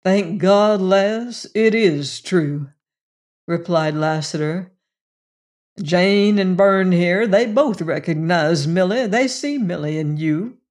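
A woman voicing a gentle, protective cowboy gunslinger with a rich voice and a folksy Western drawl in a storybook reading. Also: fairly low pitch, slow. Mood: warm, earnest.

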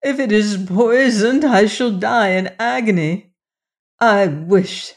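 A woman's voice: mid-range voice, takes it slowly, close to an everyday voice. A woman voicing a guilt-stricken, fearful wicked queen and stepmother in a storybook, moaning and lamenting in exclamations. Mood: anguished.

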